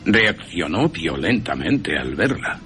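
A man's voice: low voice, sing-song, slow pace, sharp tone, a little hiss or hum. A man narrating a horror radio drama in a theatrical way, with wry asides.

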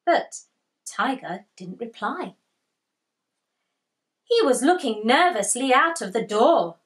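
A woman in her thirties reading aloud to young children as a storytime host, with deliberate emphasis and pauses to ask listeners questions. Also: a little high, big pitch swings. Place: small indoor room with bookshelf.

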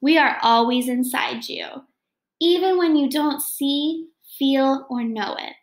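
A young woman voicing a storybook girl's gentle, knowing grown-up future self, earnest and reassuring. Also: high voice, slow pace, echoey room.

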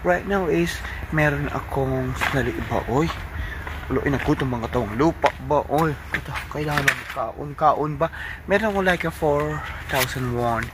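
A man's voice: on the high side, normal speed. A middle-aged Filipino man filming himself on a phone at arm's length, chatting cheerfully in a mix of Tagalog and English while walking a city street at night. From Philippines. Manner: animated, switches between Tagalog and English, loud cheery greetings. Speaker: vlogger, overseas worker. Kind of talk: casual walking vlog. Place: city street at night, then a convenience store. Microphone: phone held at arm's length. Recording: loud street traffic noise.

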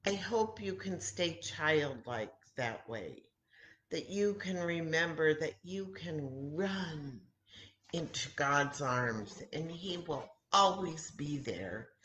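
Slow-talking older woman teaching children. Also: sits low, slight background noise. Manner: expressive, simple phrasing addressed to children, with pauses. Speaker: children's story time host and Bible teacher. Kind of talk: storytelling and craft lesson for young children. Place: room at home beside a kitchen, indoors. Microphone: laptop or webcam mic at arm's length. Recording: roomy, echoey home webcam sound.

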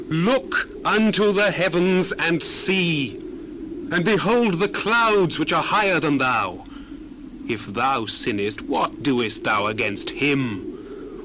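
A man's voice: fairly high pitch, background noise. A man playing an earnest, admonishing biblical orator in a solemn, declamatory dramatized scripture reading. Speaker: dramatized Bible reader.

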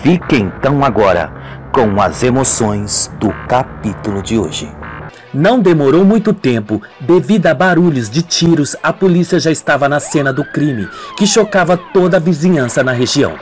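A man's voice: mid-range voice, lively intonation, normal speed. A man narrating a scripted radio soap opera as a dramatic storyteller. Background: music.

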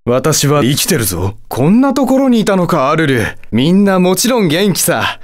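A man's voice: fairly high pitch, big pitch swings, moderate pace. A man voicing a haughty, hot-tempered, lovestruck demon lord in a video game, with a theatrical, condescending delivery. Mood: lovestruck, excited. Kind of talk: Japanese video game character voice acting.

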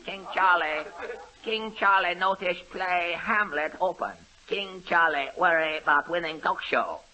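A man's voice: sits high, measured pace, sharp tone, heavily acted. A man playing a pompous comic detective who speaks in clipped broken English, in 1930s radio comedy style. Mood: smug. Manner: clipped broken-English speech with repeated catchphrases.

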